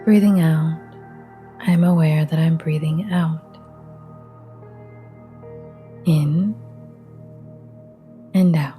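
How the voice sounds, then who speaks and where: A woman guiding a relaxation meditation in a soft, half-whispered, breathy voice, calm and gentle, with long pauses. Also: low-pitched, soft background music. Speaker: meditation guide.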